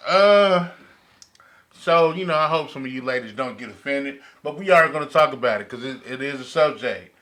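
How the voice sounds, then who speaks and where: A man's voice: mid-range voice, moderate pace, a bit bright. An American man co-hosting a podcast, talking casually in informal slang. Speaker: podcast co-host.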